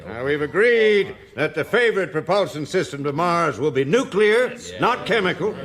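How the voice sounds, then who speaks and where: An actor playing a brisk, decisive chairman with formal manner in a radio drama. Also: a little high, animated, fairly bright tone. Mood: firm, brisk. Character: brisk male chairman steering a heated meeting. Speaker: chairman of a space agency meeting.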